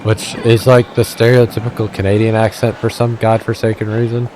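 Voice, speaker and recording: A man's voice: fairly low pitch, little pitch movement, brisk, darkish tone, a little hiss or hum, low-quality recording. Rambling, self-deprecating Midwestern gamer man. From Midwestern United States. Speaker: let's play gamer. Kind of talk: casual gameplay commentary.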